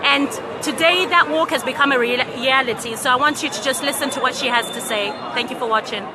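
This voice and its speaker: A woman's voice: high voice, brisk. A woman reporter with a loose, friendly manner, introducing speakers at an outdoor event. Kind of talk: on-the-spot reporting. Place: outdoors outside an embassy. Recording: crowd noise outdoors.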